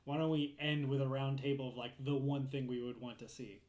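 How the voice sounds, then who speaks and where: A man's voice: mid-range voice, flat delivery, brisk, darkish tone. A man co-hosting a podcast, chatting casually about games in a round-table discussion. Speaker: podcast co-host. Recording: echoey room.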